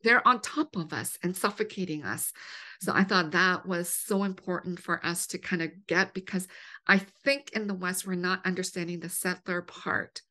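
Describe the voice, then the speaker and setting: A woman's voice: lowish voice, moderate pace, pushed and bright. A middle-aged woman hosting a podcast interview over a video call, engaged and thoughtful as she asks questions. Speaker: podcast host. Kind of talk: interview conversation. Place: home office with bookshelves.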